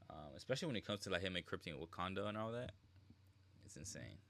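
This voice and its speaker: Lowish-voiced, slangy man streaming. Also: lively intonation, fast, a bit bright. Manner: slangy, informal. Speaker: game streamer. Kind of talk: casual live reaction commentary.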